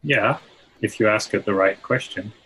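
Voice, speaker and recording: A man giving a deadpan, casual screen-share demonstration over a video call. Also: fairly low pitch, moderate pace, rough recording. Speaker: guest plugin developer demonstrating.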